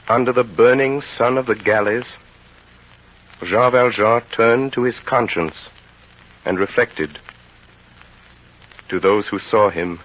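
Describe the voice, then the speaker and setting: A man narrating an old-time radio drama in a grave, deliberate, rhetorical style. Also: on the low side, fairly bright tone, breathy edge. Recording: dull, noisy old radio recording.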